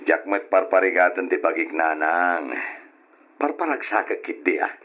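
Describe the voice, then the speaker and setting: An actor playing a drunken, carefree husband in an old radio drama, with slurred, drawling, husky talk. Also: male voice, low voice, lively intonation, unhurried, fairly bright tone, dull audio, heavily acted. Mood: dismissive. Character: drunken, carefree husband and father who brushes off warnings.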